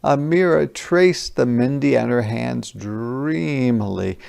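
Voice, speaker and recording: A man reads a children's story aloud like a teacher. His pace is slow and his mid-pitched voice has a creaky, gravelly edge. He stops to explain words in simple terms. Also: a little mellow. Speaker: teacher reading a picture book aloud.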